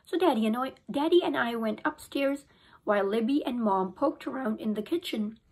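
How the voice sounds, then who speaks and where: A young woman reads a children's book aloud in a lively, expressive storytelling way, her voice high and a little husky. She is recorded on a phone held close in a small room at home. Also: normal speed, rough recording. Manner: expressive storytelling, occasional restarts. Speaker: teacher. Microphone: phone camera held close.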